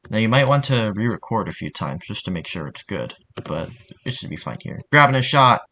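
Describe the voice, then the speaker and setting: A man's voice: deep voice, very animated, brisk, a bit bright. A man giving a casual spoken tutorial in an informal, offhand way. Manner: informal, offhand, mildly sweary. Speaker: tutorial narrator.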